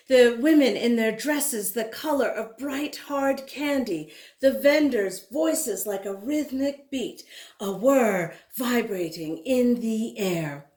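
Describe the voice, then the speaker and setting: A woman reading a picture book aloud to children, expressively, pausing to speak directly to her young listeners. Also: high voice, roomy sound. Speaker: children's story reader.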